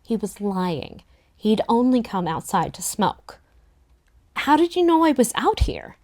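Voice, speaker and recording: A teenage girl played by a woman narrates a young adult novel in the first person, at a medium pitch. She is wistful and reflective, easily flustered, and turns pointed and indignant when she speaks her dialogue. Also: very animated, a little slow, darkish tone. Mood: indignant, accusing. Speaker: table-read performer.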